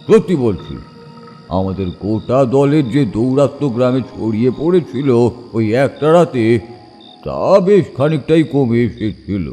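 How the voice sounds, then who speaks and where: An actor playing an older village man who recounts an eerie tale from his youth in a suspenseful, deliberate manner with dramatic pauses. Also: mid-range voice, sing-song, warm tone, soft background music, lightly acted. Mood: grave, reflective. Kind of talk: dramatic horror audio story narration.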